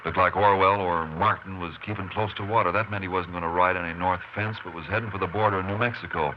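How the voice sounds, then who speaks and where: A man's voice: low voice, fairly even intonation, fast, natural voice. An actor playing a terse, determined Texas Ranger lawman in a 1950s radio western. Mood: grim, determined. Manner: terse, matter-of-fact. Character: steady, determined Texas Ranger tracking a killer. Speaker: lead actor and narrator. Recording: noisy muffled 1950s radio recording.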